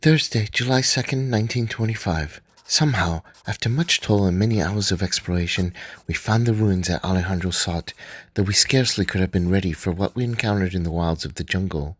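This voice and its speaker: A man's voice: deep voice, brisk, no echo. A man reading a solemn expedition journal. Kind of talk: radio drama journal narration.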